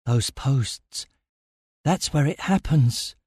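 A man voicing a fearful, secretive man in a story, giving halting warnings in a hushed, breathy voice. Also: medium pitch, lively intonation, dry recording, studio quality. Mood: breaking, anguished. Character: frightened, secretive male castle dweller warning a stranger.

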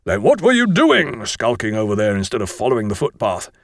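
A man voicing a stern, short-tempered schoolmaster with gruff, emphatic, brusque delivery in a storybook. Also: lowish voice, fairly even intonation, fast, no echo, studio quality, lightly acted. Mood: stern, accusing.

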